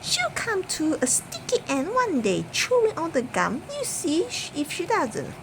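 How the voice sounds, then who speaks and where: A woman's voice: extremely high pitch, huge pitch swings, measured pace, background noise, voice pushed up, full character voice. A woman voicing a disapproving old grandmother in a children's storybook, grumbling with scorn. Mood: scornful. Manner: scornful, muttering.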